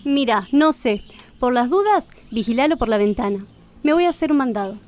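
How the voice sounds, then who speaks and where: High-pitched nagging Argentine mother, acted by a woman. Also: lively intonation, a little fast, a little mellow, highs cut off, a little hiss or hum, lightly acted. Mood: fussy, distrustful. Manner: nagging, scolding. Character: bossy, disapproving, gossipy mother of a teenage daughter. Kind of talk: radio drama acting.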